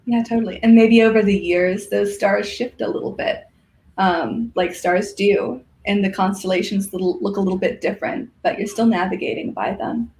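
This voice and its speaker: A woman's voice: medium pitch, fairly even intonation, normal speed, darkish tone. A woman guest chatting casually and reflectively, searching for her words as she goes. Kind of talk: casual chat.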